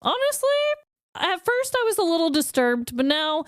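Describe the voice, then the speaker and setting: A woman's voice: very high-pitched, sing-song, moderate pace, no echo, studio quality. A woman co-hosting a casual podcast chat, reacting with frequent laughter and casual swearing. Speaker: podcast co-host.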